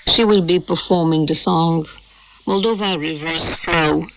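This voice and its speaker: A woman's voice: sits low, measured pace, vocal fry, a bit bright. A woman presenting a music programme on the radio with a scripted introduction, heard over shortwave. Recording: muffled shortwave radio capture. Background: faint music.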